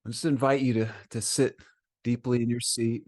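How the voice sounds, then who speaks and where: A man leading a guided meditation as a teacher, speaking gently and soothingly in short phrases with frequent pauses. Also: mid-range voice, flat delivery.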